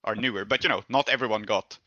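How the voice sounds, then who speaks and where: A man with a strikingly bright, mid-pitched voice steers a casual tabletop game conversation as game master. The sound is dry and a little band-limited. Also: quick pace, dry recording, dull audio. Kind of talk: casual discussion among tabletop players.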